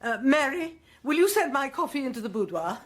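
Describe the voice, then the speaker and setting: An actress playing a brisk, commanding older English lady of the manor in a radio drama. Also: high-pitched, sing-song, slight background noise.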